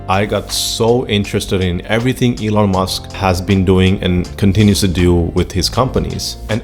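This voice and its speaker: A man in his thirties with a Finnish accent, a native Finnish speaker, talking earnestly and enthusiastically as host of his own vlog. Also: low voice. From Finland. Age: 30s. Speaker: channel host. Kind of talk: personal vlog monologue.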